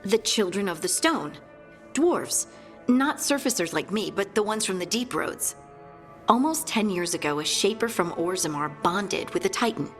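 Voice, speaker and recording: A woman's voice: mid-range voice, animated, normal speed, a bit bright, faint music behind, natural voice. A woman voicing an earnest, hopeful female dwarf in a video game, speaking in a thoughtful, hesitant way.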